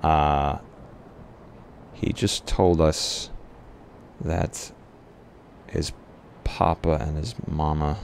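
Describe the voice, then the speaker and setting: A man playing a tabletop roleplay game, improvising casually with hesitant pauses mid-sentence. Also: extremely low pitch, steady tone, vocal fry. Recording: noisy live stream.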